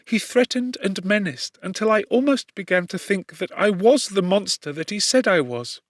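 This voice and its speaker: An anguished young servant woman in a classic audiobook , played by a man. The voice is pitched very high, meek and earnest, pleading through tears. The sound is dry, with no room around it. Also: fairly bright tone, no echo. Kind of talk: dramatic audiobook character reading.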